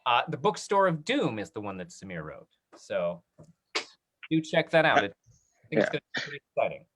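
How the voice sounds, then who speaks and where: A woman's voice: rumbling low, sing-song, moderate pace, slight background noise. A woman moderating an informal question session over a video call. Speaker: discussion moderator. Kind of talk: hosting an online Q&A.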